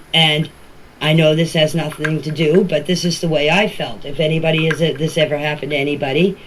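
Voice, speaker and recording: An older woman with a Canadian Maritime accent speaks informally, answering questions and often repeating and rephrasing herself. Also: sits low. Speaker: family member speaking about a case. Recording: noisy amateur voice clips with clicks.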